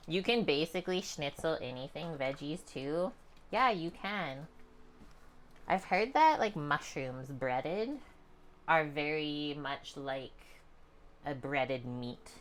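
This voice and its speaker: Calm low-voiced woman cooking. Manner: calm, chatty, talks to viewers. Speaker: cooking streamer. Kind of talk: casual cooking demonstration. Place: home kitchen.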